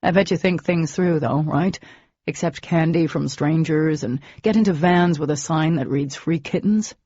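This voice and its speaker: A woman voicing a gruff, protective young man who talks in a blunt, sardonic way. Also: deep voice, moderate pace, dark tone, no echo, muffled recording.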